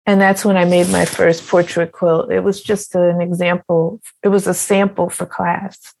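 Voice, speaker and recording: A woman artist telling her story in an interview, slow and reflective, with small fillers and repeats. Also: fairly low pitch, little pitch movement, gravelly, a little mellow, low-quality recording. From partly Ghanaian heritage. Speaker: quilt artist guest.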